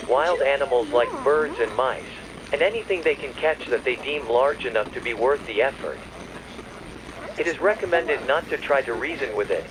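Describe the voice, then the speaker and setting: Loud aircraft-like droning noise surrounds a man's mid-pitched voice. He reads a scripted emergency warning as a formal broadcast announcer. His delivery is measured and official. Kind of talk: scripted emergency alert narration.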